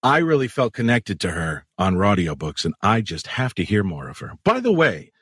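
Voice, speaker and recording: A man hosting a podcast in a husky voice with a lively, swinging pitch, joking crudely and swearing freely. He is recorded close on a dry mic. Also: normal speed, no room sound. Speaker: podcast host. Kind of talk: casual podcast chat.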